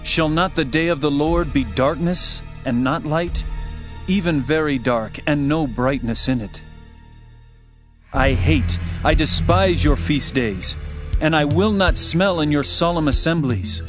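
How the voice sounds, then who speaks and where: A man's voice: fairly high pitch, measured pace. A man narrating a dramatized Bible reading in a solemn, declamatory manner. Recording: music under the voice. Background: background music.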